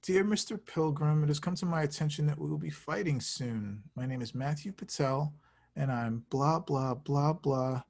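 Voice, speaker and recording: A man playing an excitable, oblivious young slacker in love, slangy, in a comedy screenplay table read. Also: on the high side, normal speed, gravelly. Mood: bored.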